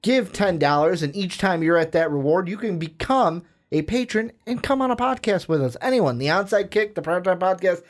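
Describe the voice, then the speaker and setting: A man co-hosting a sports podcast, running the segment and plugging the show in a chatty, energetic way. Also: high voice, brisk, few pauses. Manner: energetic, plugs and runs the segment. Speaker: podcast co-host. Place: podcast studio.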